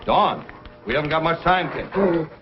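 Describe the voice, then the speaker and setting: An actor playing a hearty, urgent frontier sled driver who thinks aloud in brisk exclamations, in old-time radio adventure style. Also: male voice, on the high side, lively intonation. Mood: urgent, alert. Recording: dull old radio recording with music.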